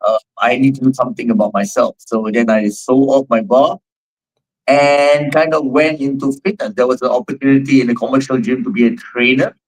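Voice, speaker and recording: A man's voice: mid-range voice, fairly even intonation, fairly quick, rough recording. Man, 40s, Singaporean accent, rambling interview answers, fitness trainer, plain mid voice. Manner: rambling, many fillers and restarts. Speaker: guest, fitness trainer and gym owner.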